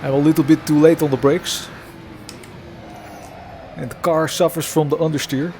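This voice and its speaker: A man's voice: a little high, fairly even intonation, normal speed, mellow, voice pushed up, natural voice. A man voicing a comically earnest Dutch racing driver, with a Dutch accent and stiff, simple statements over car noise. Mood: earnest, deadpan. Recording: noisy, car engine sound.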